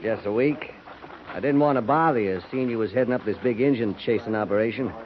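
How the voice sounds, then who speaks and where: A man's voice: mid-range voice, brisk, close to an everyday voice. An actor playing a falsely humble cavalry trooper who needles his captain in a folksy drawl, in 1950s radio drama style. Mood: sly. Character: wheedling, needling old army acquaintance. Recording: muffled 1950s radio broadcast, faint hiss.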